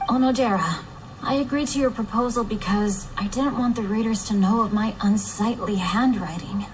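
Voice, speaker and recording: A woman's voice: medium pitch, moderate pace, background noise, natural voice. A woman voicing an earnest, formal and easily flustered young male novelist in a fantasy game, with polite bookish phrasing. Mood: sheepish, earnest. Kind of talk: animated game voice acting.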